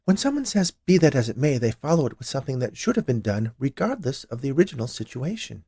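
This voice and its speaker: Man acting a storybook shopkeeper woman, annoyingly cheerful, chatty, pitched up, lively swoops, dry close sound. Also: fast talker, slightly rough, no room sound. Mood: puzzled, insistent. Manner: annoyingly cheerful, rattling off lists.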